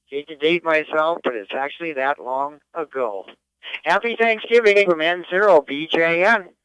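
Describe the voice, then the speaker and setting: A man's voice: fairly high pitch, moderate pace, a bit bright, clear, resonant tone, no echo, muffled recording. An older man casually checking in over amateur radio. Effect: amateur radio transmission. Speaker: ham radio net participant.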